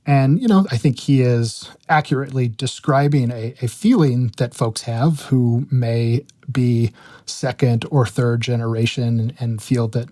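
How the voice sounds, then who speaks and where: An American man, a reporter explaining things as an interview guest, measured and careful in how he phrases them. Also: medium pitch, mellow, dry recording, clean studio sound. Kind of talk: explanatory radio interview talk.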